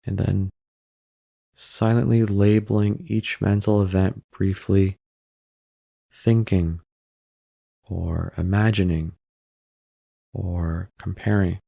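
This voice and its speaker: Male meditation guide, calm, creaky low voice, short phrases with pauses, close dry sound. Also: dry recording. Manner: calm, unhurried, pausing between short phrases.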